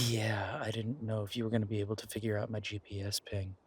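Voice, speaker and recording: A man playing an affectionate, eager boyfriend in an audio roleplay, talking in a casual, halting, coaxing way with a husky voice. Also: fairly low pitch, level tone, slight background noise, close to an everyday voice. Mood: welcoming, amused. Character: affectionate, eager boyfriend pitching grand ideas to his werewolf girlfriend.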